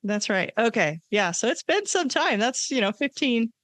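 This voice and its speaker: A woman's voice: on the high side, sing-song, moderate pace, a bit bright, dry recording. A woman speaking conversationally as a podcast host and interviewer.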